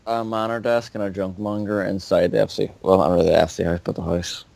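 Young man, lowish voice, laid-back gamer chatter. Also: little pitch movement, fast talker, vocal fry, a little mellow, no echo. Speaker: gamer on a voice call. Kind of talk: casual chat while gaming.

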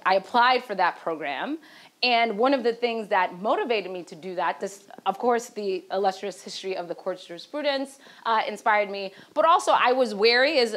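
A woman's voice: medium pitch, fairly bright tone. A woman law professor on a panel, speaking to an audience in a lecture hall with many fillers and "right?" tags, picked up by a far camera.

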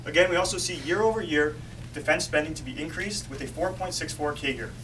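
A man's voice: a little high, quick pace, fairly bright tone. A young man giving a rehearsed finance presentation, stressing the start of each point. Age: young adult. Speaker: student presenter. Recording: distant voice with room noise.

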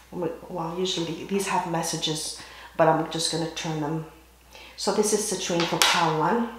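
Woman, hoarse voice, tarot reading, throat-clearing, very echoey room. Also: fairly low pitch, slight background noise. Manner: halting, clears throat and coughs often. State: hoarse, losing her voice. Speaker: tarot reader. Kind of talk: pick-a-card tarot reading. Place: indoors at a table with candles and crystals.

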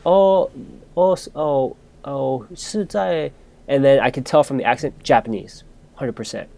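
A man's voice: medium pitch, unhurried, vocal fry, mellow. Rambling young man telling a story. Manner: rambling, many fillers and restarts. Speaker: podcast co-host. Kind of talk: casual storytelling chat.

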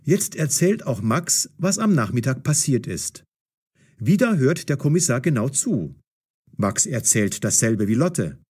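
A man's voice: mid-range voice, big pitch swings, a little slow, darkish tone, slightly rough. A man narrating a scripted story as the narrator of an audio drama.

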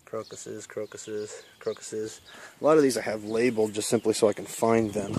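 A man's voice: lowish voice, normal speed, slight background noise. A man giving a casual, rambling walk-through of his backyard garden, talking into a handheld camera as he walks. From Iowa. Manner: relaxed, rambling, many fillers. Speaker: permaculture vlogger. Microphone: handheld camera mic while walking.

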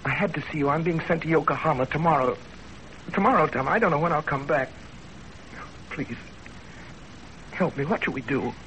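A man's voice: a little high, fairly quick, pauses often, a bit bright, a little hiss or hum, lightly acted, poor audio. An actor playing an earnest young man in love, in a 1930s radio drama. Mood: pleading, urgent. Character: earnest lovesick young man narrating his romance. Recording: old 1930s radio recording with music.

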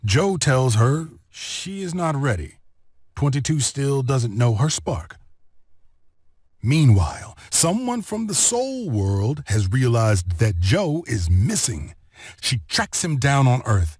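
A man narrating a children's read-along story in an expressive, deliberate storytelling manner. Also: medium pitch, dry recording, studio quality. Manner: expressive, deliberate storytelling delivery with clear phrasing.

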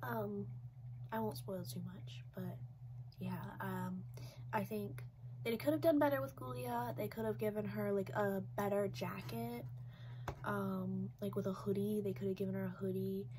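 A woman's voice: mid-range voice, steady tone, measured pace, dark tone, a little hiss or hum, low-quality recording. Stuffy-nosed young woman chatting casually. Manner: rambling, chatty, many "like"s and "um"s. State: stuffy, congested from a cold. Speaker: doll collector vlogger. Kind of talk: casual review chat. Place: small decorated bedroom, indoors.

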